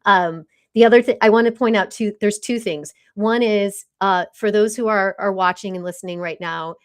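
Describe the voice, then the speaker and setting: A woman with a medium-pitched voice hosts a podcast conversation. She speaks informally, adding coaching-style commentary and guiding the interview. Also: moderate pace, clear, resonant tone.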